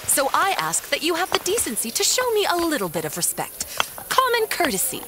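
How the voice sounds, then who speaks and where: A woman's voice: very high-pitched, wildly swooping pitch, a little fast, noisy background, full character voice. An actress playing a stern, bossy woman who runs an expedition in an audio drama, clipped, formal and exasperated. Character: stern, bossy female expedition boss, stickler for protocol. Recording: loud cinematic music behind the voice.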